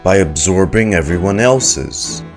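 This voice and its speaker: A man's voice: sits low, lively intonation, measured pace. A man giving casual, musing commentary as a streamer reacting to a game, often repeating words as he thinks aloud. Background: faint game music.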